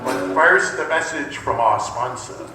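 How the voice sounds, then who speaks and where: A man playing an ominous, theatrical announcer of an old mystery radio serial, with a dramatic, drawn-out delivery. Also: medium pitch, close to an everyday voice. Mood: grave, dramatic. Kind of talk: 1940s radio acting, scripted narration. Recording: echoey hall from far off, loud music.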